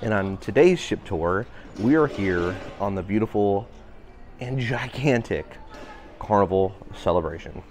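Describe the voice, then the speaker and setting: A man giving an upbeat walking tour of a cruise ship, his voice a little raspy today. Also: lowish voice, expressive, a little slow, a little mellow. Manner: upbeat, enthusiastic guiding. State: slightly raspy voice. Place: indoor public areas of a cruise ship.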